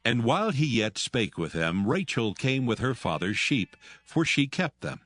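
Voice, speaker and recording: A man narrating dramatized scripture as a solemn, measured storyteller. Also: medium pitch, sing-song, fairly bright tone. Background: music.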